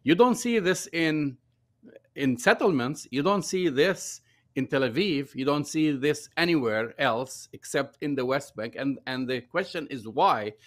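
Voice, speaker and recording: Emphatic older man with a higher voice. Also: animated, unhurried. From Palestine. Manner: emphatic explaining, many fillers. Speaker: guest journalist. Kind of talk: political commentary. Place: home office, indoors. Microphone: video call with a desk microphone.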